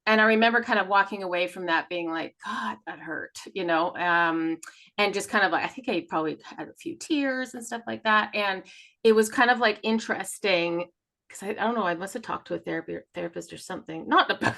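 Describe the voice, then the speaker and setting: Female co-host, bright mid-range voice, talkative, unfiltered, casual confessional style. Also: medium pitch, brisk, gravelly. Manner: talkative, animated retelling with acted-out dialogue; candid, swears freely. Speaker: podcast co-host.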